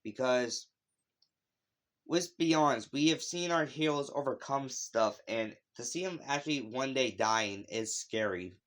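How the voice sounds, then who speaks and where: A man's voice: medium pitch, a little slow, a bit bright. A man hosting a casual, unscripted video review, talking in a rambling, conversational way that drifts into asides. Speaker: YouTube video host reviewing animated shows. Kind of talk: casual unscripted opinion vlog.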